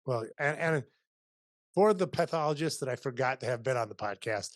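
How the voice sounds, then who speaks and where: Male podcast host, middle-aged, energetic, rambling, dry mic. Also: mid-range voice, fairly quick, dry recording. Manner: upbeat, rambling with restarts. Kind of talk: video-call interview. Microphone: studio mic on boom, video call.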